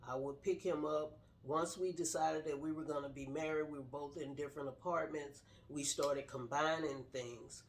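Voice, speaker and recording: Middle aged lady just talking about her life, kinda deep voice, earnest and straight to the point. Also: fairly even intonation, moderate pace, few pauses, slight background noise. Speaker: guest telling personal story, wife of the host. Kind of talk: casual personal testimony.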